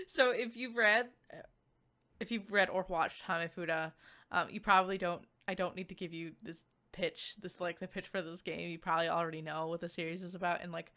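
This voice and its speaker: A woman's voice: lowish voice, bright, forceful tone. A woman giving a casual, unscripted game review as a VTuber, rambling and giggly as she interrupts herself.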